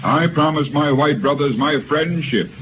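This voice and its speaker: Actor as a grandiose orating chief, tinny radio. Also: male voice, on the high side, normal speed, lightly acted. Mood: solemn, conciliatory. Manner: solemn, flowery oratory. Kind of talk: 1930s radio acting. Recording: noisy, tinny 1930s radio recording.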